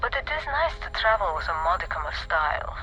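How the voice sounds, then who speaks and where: An actor playing a sly, wealthy, mysterious trader in a video game, amused and coy, with teasing, drawn-out phrasing. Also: mid-range voice, very animated, sharp tone, faint music behind, rough recording. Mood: coy, evasive. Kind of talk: video game character dialogue.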